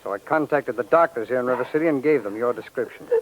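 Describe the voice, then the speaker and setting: Man acting a rapid-fire, stern radio G-man. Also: mid-range voice, close to an everyday voice. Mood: stern, matter-of-fact. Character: stern, businesslike male FBI special agent. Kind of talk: 1940s radio crime drama acting. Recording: muffled, noisy 1940s radio recording.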